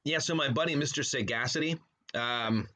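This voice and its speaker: A man with a mid-pitched voice chats casually as a podcast guest. He tells things in a rambling way, breaking into his own sentences with asides. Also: moderate pace, bright, forceful tone. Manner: rambling, self-interrupting storyteller with frequent trailing asides. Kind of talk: casual interview chat.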